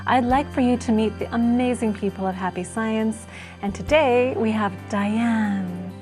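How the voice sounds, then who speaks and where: A woman's voice: a little high, measured pace, darkish tone, faint music behind. A woman hosting an interview show, introducing her guest and asking questions in a friendly, encouraging manner. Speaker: host.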